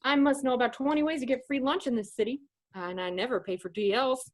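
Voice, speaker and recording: Woman as a cocky, high-voiced cabbie guy. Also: steady tone, quick pace. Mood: breezy, flirty.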